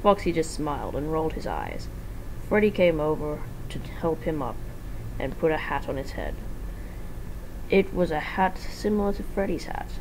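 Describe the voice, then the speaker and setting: A woman's voice: low voice, very animated, moderate pace, a little mellow, a little hiss or hum, rough recording. A woman giving an amateur dramatic reading of a fan-written story as its narrator.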